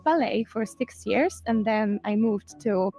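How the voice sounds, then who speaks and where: Young woman from Poland, brisk intro. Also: mid-range voice. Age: 20s. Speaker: psychology student, pageant contestant. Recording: music behind.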